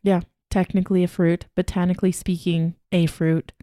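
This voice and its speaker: A bubbly woman co-hosting a food podcast, laughing often as she chats. Her voice sits a little low, recorded close and dry with no room sound. She talks in a playful, loose way. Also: flat delivery, normal speed, dark tone, no echo. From Hawaii. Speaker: podcast co-host. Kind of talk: casual chat.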